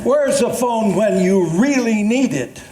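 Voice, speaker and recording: Older man playing a dry, sardonic skeptic onstage; unhurried delivery, roomy recording with faint noise. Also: sits high, lively intonation. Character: sardonic, doubting older man among friends. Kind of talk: amateur readers theatre performance.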